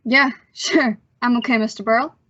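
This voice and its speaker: A woman as an earnest teenage boy narrating and acting in a radio suspense play. The voice is fairly high and bright, with a bashful, halting delivery. The recording is slightly muffled. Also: fairly even intonation, slight background noise, close to an everyday voice. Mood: nervous. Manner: halting, nervous stammer with sheepish delivery.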